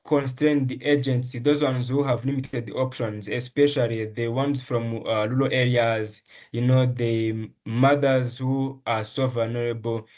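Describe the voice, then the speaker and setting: A young man from Rwanda speaks at a middling pitch in an interview. His answers come earnestly and carefully, broken by pauses, fillers and restarts as he builds his points. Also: steady tone, a little mellow. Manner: earnest, measured, with frequent ums and restarts. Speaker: medical student, essay prize winner.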